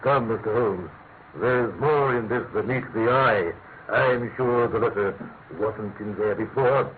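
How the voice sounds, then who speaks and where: A man's voice: fairly low pitch, measured pace, lightly acted. A man playing a grave, emphatic English elder statesman in a radio drama, formal and insistent. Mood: suspicious. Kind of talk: classic radio drama acting. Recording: thin phone-like radio sound, clear noise.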